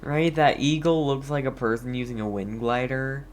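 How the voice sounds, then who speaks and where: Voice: mid-range voice, steady tone, moderate pace, noisy background. A game streamer giving casual, rambling commentary, talking to themself and to viewers. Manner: rambling, talks to self and viewers. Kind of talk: casual chatty gameplay commentary.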